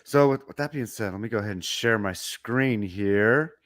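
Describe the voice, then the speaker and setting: Hoarse man co-hosting a chat show. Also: fairly low pitch, fairly bright tone, dry recording, studio quality. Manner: quick, with frequent restarts. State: hoarse from a sore throat. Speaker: podcast co-host. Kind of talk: casual chat.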